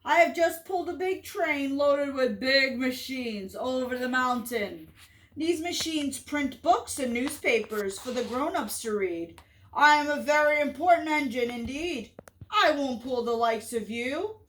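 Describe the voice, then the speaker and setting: A woman voicing a proud, boastful male freight engine who speaks loudly and haughtily. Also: high voice, expressive, unhurried, slight background noise, rough recording. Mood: haughty. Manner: loud and haughty.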